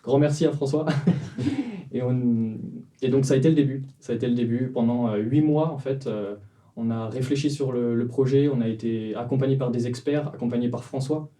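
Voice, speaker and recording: Man, 20s, startup cofounder guest; hesitant, filler-heavy delivery, very dark timbre, recorded from a distance. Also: medium pitch, normal speed. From France, Vendée. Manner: hesitant, many fillers and restarts. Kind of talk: casual interview answers.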